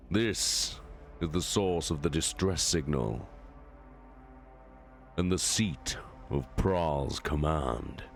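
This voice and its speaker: A man doing a deep, commanding warmaster. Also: full character voice. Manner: measured, authoritative briefing. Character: commanding male warmaster, regal and calculating.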